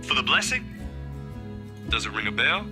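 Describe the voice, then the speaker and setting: Man voicing an animated, friendly young guy. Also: mid-range voice, normal speed, natural voice. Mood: easygoing, reassuring. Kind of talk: visual novel game voice acting. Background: game music with guitar.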